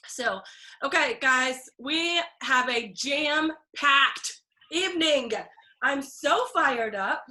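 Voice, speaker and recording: A woman hosting a video call for her team, upbeat and chatty, with a stuffy, scratchy throat and frequent throat clearing. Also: high voice, expressive, very slow, bright, forceful tone. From Texas.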